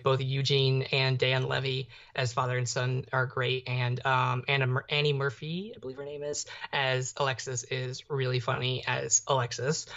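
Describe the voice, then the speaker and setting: A man's voice: medium pitch, a bit bright. A young adult man co-hosting a podcast in a casual, rambling way. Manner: rambling, peppered with "like". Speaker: podcast co-host. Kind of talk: casual chat. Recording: slightly dull, muffled audio.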